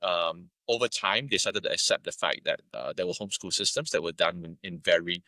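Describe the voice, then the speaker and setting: Singaporean guy being interviewed, really deep but bright voice, talks fast, chatty. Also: dry recording. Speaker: podcast guest, media entrepreneur. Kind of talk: conversational interview.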